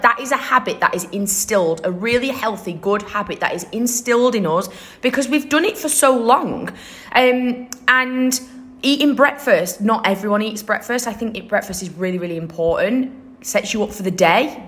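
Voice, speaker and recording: A young woman hosts her own podcast in a relaxed, chatty way, full of upbeat energy and little asides. Her voice sounds slightly croaky today. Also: medium pitch. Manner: chatty, upbeat, many fillers and laughs. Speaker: podcast host. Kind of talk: casual solo podcast chat. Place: room with air conditioner running behind.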